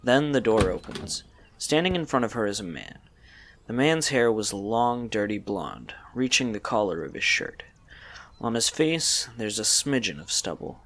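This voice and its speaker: Lowish, animated male story narrator. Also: a little slow, slight background noise.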